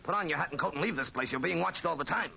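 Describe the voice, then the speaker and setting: Radio drama: shady gentleman, grave insistent warnings, creaky bright-edged voice, dull vintage sound. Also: a little high, very fast, heavily acted. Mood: urgent warning. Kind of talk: 1940s radio acting. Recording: muffled, noisy 1940s radio recording.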